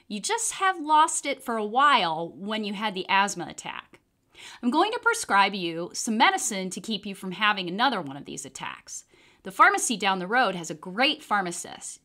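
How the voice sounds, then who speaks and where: A woman's voice: mid-range voice, pushed and bright. A woman voicing a kindly male doctor in a children's storybook, explaining things in a reassuring way.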